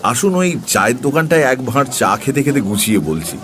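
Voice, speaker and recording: An actor playing a seasoned tantric sage who tells eerie true tales in a measured, unhurried way for an audio drama. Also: male voice, medium pitch, big pitch swings, big-room sound, close to an everyday voice. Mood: measured, uneasy. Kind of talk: dramatised audio story narration. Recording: faint background noise.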